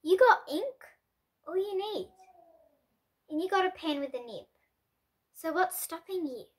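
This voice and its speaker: A young reader, presented as a child, reading a children's picture book aloud with expressive delivery and lightly voiced characters. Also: female voice, very high voice, measured pace. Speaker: storybook reader.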